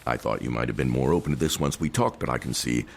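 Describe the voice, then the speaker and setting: An actor playing a stuffy, dismissive older theatre owner with a formal, condescending manner in an audio drama. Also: male voice, extremely low pitch, fast talker, gravelly, background noise.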